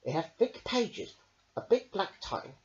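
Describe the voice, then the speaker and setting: A man reading a picture book aloud in a slow, very lively storytelling voice. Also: high voice, a little hiss or hum. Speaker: storybook reader. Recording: dull lo-fi recording.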